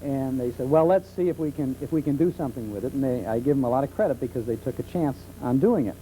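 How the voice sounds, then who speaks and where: Middle-aged man, very mellow tone, explanatory, hesitant pauses, talk show guest, faint hum of muffled 1970s tape. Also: medium pitch. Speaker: talk show guest, old-time radio enthusiast. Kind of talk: conversational interview answers. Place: television studio.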